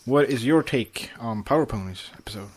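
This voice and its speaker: A man's voice: mid-range voice, brisk, breathy edge. A man chatting casually as a podcast co-host. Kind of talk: casual chat.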